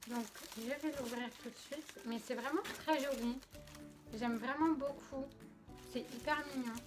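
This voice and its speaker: A woman's voice: high-pitched, steady tone, measured pace, music in the background. A young woman with a slight cold in her throat, chatting casually and giggling as she unboxes makeup. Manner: chatty, filler-heavy, giggly. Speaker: beauty vlogger.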